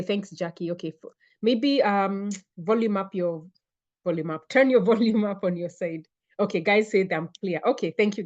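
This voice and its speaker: A woman's voice: medium pitch, a little mellow, dull audio. Upbeat woman hosting a webinar. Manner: upbeat, greets viewers by name, repeats phrases. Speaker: webinar host and fitness coach. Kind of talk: live webinar welcome. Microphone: computer mic on a video call.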